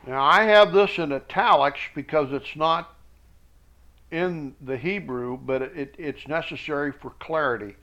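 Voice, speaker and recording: A man preaching verse by verse, reading scripture aloud in emphatic, halting phrases and breaking off for explanatory asides. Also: on the high side, pushed and bright. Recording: dull, lo-fi sound.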